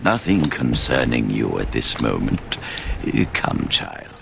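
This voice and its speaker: An actor playing an elderly, wry and fatherly head mage in a video game, speaking in a gravelly, formal, deliberate way. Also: very deep voice, very animated, fairly bright tone, slight background noise, full character voice. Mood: composed. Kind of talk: measured video game dialogue acting.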